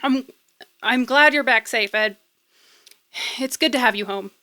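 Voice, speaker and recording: An actress playing an earnest, anxious young woman in an audio drama, informal and wry, sometimes halting. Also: on the high side, sharp tone. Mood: hesitant.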